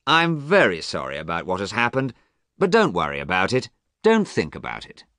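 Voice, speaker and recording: A man voicing a worldly, persuasive gentleman friend in a read-aloud story, with a theatrical, coaxing lilt. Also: low-pitched, wildly swooping pitch, moderate pace, dry recording, studio quality, heavily acted. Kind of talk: audiobook character reading.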